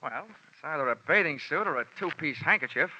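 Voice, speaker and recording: An American actor playing a tough, wisecracking insurance investigator with a wry, snappy delivery in an old radio detective drama. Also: male voice, on the high side, lively intonation, brisk, pushed and bright, no echo, heavily acted. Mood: hard, mocking. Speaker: lead character and narrator. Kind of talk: 1950s radio detective drama, hardboiled narration. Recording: dull vintage radio broadcast recording.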